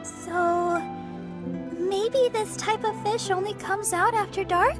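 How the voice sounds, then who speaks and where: A woman's voice: very high-pitched, slow pace. A woman voicing a polite, inquisitive young girl with a thoughtful manner in an anime-style video game. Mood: curious, earnest. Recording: studio voice under game music.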